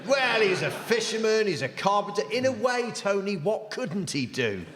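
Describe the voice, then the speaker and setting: A man's voice: high-pitched, sing-song, moderate pace, reverberant, big-room sound, heavy background noise. A man performing as a comedy panellist, bantering and chanting on stage. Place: theatre stage with live audience.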